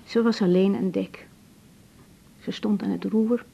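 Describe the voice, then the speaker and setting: Young female doctor, radio drama: mellow, hushed, troubled, tender and hesitant, on dull tape. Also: steady tone, a little slow, gravelly, slightly rough. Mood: solemn reciting. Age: young adult. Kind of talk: naturalistic 1980s radio drama. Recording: dull, muffled tape with faint rumble.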